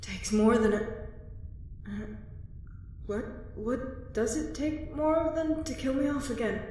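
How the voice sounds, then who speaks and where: An actress in an audio drama playing a wounded but wryly joking apocalypse survivor, halting and self-mocking. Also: female voice, a little high, mellow, slight background noise. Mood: dazed, wry. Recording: very echoey hall-like reverb.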